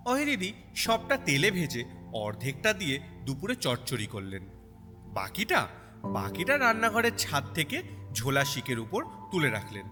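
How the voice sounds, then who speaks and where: A man tells a story in a scripted, expressive style. His medium-pitched voice swings widely in intonation. It carries a long reverb, and music with cricket sounds plays clearly behind him. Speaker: story narrator.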